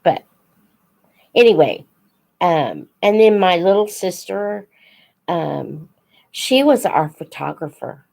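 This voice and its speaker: A woman hosting a casual live chat, unhurried and thinking aloud as she talks to her viewers. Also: lowish voice, sing-song, vocal fry, darkish tone. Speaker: host of a live book Q&A, teacher-like reader.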